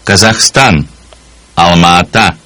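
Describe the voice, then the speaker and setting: A man speaking single words slowly and deliberately as a model for learners. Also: deep voice, pushed and bright, no echo. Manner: deliberate, articulated word by word. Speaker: native speaker on a language course recording. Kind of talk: slow, careful model pronunciation.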